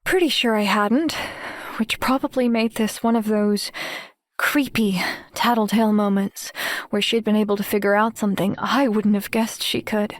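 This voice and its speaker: A woman playing a wary, introspective teenage girl who narrates her own story in a measured audiobook style. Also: mid-range voice, steady tone, no room sound, clean studio sound, natural voice. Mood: wary, bemused. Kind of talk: first-person audiobook narration.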